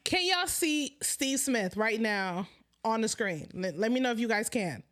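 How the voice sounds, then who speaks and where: High-voiced animated woman host with a stutter. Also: a little slow. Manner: animated, laughs often, stutters. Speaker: live-stream host. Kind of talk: casual live-stream chat.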